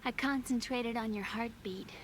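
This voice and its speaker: A woman voicing a soft-spoken young woman. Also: a little high, moderate pace, slight background noise, lightly acted. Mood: wistful. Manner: soft and hesitant, slipping into whispers. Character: shy young woman genius, wounded younger sister. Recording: game cutscene with music behind.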